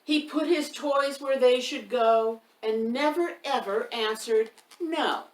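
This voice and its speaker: Woman hosting children's storytime, distant roomy sound. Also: fairly high pitch. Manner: unhurried, addressing young listeners directly with questions. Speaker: children's author and storytime host. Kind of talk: casual storytime chat and expressive picture-book read-aloud for children. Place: indoors by a fireplace, roomy sound. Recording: roomy, distant microphone with faint background noise.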